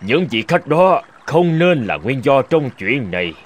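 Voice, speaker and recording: An actor playing a calm old male hermit sage who chides in a measured, knowing way. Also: medium pitch. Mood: calm admonishing. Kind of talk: Vietnamese cartoon dubbing.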